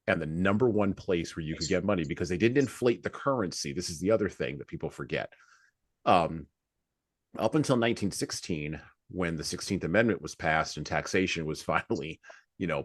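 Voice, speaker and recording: Very deep-voiced American man, close dry sound. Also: no room sound. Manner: emphatic, repeats phrases for effect, poses rhetorical questions. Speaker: podcast host. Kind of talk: conversational book discussion and commentary.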